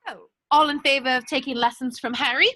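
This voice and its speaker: A woman's voice: high-pitched, fairly even intonation, fairly quick, sharp tone, no echo. A woman playing an earnest, bossy, bookish teenage schoolgirl in an amateur table read. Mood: brisk, organizing.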